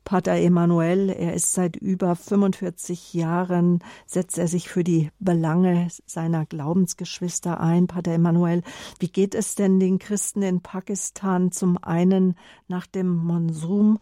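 A woman's voice: fairly low pitch, little pitch movement, a little slow, warm tone, no room sound. A woman radio host presenting a scripted introduction and interview questions in a studio.

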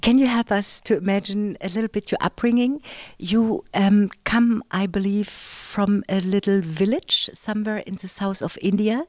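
A woman from Germany interviews in a mellow, mid-pitched voice, building her questions in tentative pieces. The recording is very dry and a little dull. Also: steady tone, normal speed, no echo. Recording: dry, slightly dull sound.

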